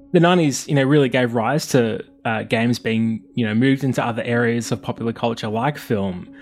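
Man hosting a podcast interview. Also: medium pitch. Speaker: host interviewer. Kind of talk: podcast interview and scripted narration.

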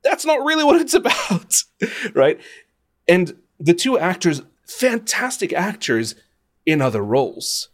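A man giving thoughtful, opinionated answers as an interview guest, speaking conversationally and with emphasis. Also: fairly high pitch. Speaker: interview guest, video game composer and radio presenter.

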